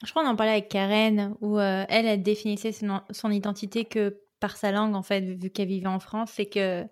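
A woman with a medium-pitched voice hosts a relaxed chat. She speaks informally, reacting to what she hears and putting in teasing remarks and questions. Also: brisk. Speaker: podcast co-host or interviewer. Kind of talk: casual chat.

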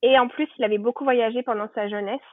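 A woman's voice: on the high side, steady tone, fast, no echo. A woman casually telling personal stories in French conversation, chatty and anecdotal, thinking aloud as she goes. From Normandy, France. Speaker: podcast guest. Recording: thin phone-like band, dry.

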